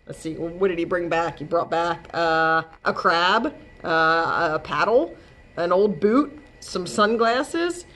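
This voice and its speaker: Middle-aged woman with lively, unhurried storytime delivery. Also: lowish voice. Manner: expressive, drawn-out emphasis on words. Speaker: library storytime host. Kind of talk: read-aloud for young children. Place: small indoor library room.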